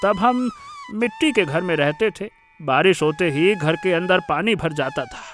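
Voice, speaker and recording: A man playing a warm, sentimental elderly village father in a Hindi cartoon story, given to exclaiming. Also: high-pitched, expressive, unhurried, faint music behind. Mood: warm, reminiscing. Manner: exclamatory, repeats words of praise.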